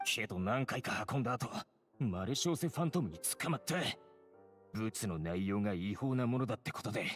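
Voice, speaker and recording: An actor playing a shifty, defensive petty criminal in a Japanese game dub, agitated and protesting. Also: male voice, lowish voice, expressive, racing, close to an everyday voice. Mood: anxious, confessing. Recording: game audio with music behind.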